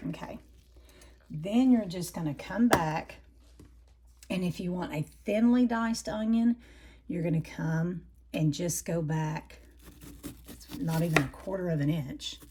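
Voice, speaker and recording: Raspy woman demonstrating a recipe. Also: fairly low pitch, unhurried, long pauses, heavy background noise. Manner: chatty, step-by-step explaining. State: raspy from allergies, heavy breathing. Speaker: home cooking channel host. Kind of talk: casual cooking demonstration. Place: home kitchen.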